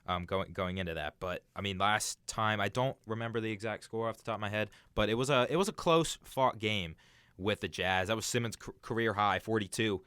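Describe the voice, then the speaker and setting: A young man with a fairly low voice chats about sports as a radio co-host. The sound is dry and close, with a casual conversational delivery. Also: brisk, sharp tone, smooth, no room sound. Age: young adult.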